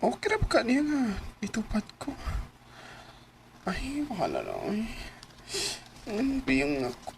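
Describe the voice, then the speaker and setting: A woman's voice: medium pitch, huge pitch swings, takes it slowly, pauses often, slight background noise, full character voice. A woman playing a young transgender woman in love, tender and easily distressed, in a Bisaya radio drama with a Cebuano Filipino accent. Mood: woozy, low.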